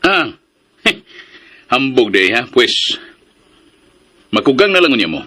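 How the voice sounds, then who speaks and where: A man with a Filipino Cebuano accent acting a boastful, scheming land buyer in a radio drama, raspy and smug, mixing in English phrases. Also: mid-range voice, big pitch swings, pushed and bright, heavily acted. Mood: threatening, smug. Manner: smug, taunting, drawn-out delivery with English phrases.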